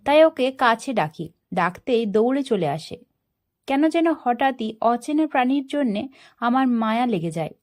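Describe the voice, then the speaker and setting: A woman storyteller narrating a first-person story in an expressive, dramatic way. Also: on the high side, a little slow.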